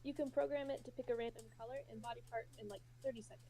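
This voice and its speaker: An easygoing, enthusiastic big guy, played by a woman in an amateur audio drama. The voice is mellow and on the high side, with quick, eager, friendly delivery. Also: fairly even intonation, rough recording. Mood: upbeat. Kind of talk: amateur fan fiction group reading.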